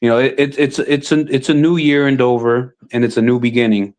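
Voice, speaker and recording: A man in casual conversation, his voice mid-pitched and ordinary. He talks haltingly, stacking fillers and restarting sentences as he searches for words. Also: steady tone, darkish tone. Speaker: city council member. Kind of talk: casual chat.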